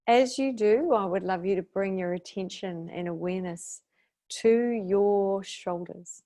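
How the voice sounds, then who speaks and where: A woman guiding a meditation as its teacher, speaking in a soothing, unhurried way with long pauses. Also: lowish voice, mellow. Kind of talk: guided meditation.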